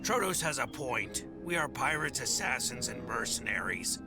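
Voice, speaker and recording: A man voicing a cynical, menacing alien pirate in a comic dub, with a gravelly voice. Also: mid-range voice, very animated, normal speed, loud music behind the voice.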